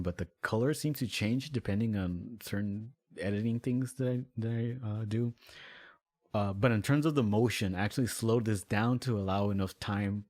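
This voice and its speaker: A man with a low voice talks casually about how he made his video, rambling with plenty of fillers. The sound is dry and close. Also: darkish tone, no room sound. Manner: rambling, many fillers, laughs easily. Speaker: musician and video maker.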